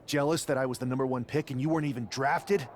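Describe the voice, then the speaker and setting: An actor playing a cocky, wisecracking young wrestler in a video game cutscene. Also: male voice, medium pitch, fairly even intonation, fast talker, a little hiss or hum, close to an everyday voice. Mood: demanding.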